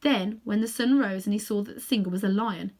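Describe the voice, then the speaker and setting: A woman narrating a children's storybook aloud in an expressive reading style. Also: medium pitch, fairly quick. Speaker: storybook reader.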